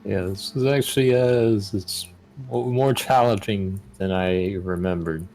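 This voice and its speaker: Man, lower pitch, soft dark tone, casual co-player gaming chat, faint background music. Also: a little slow. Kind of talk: casual chat during gameplay. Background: game music.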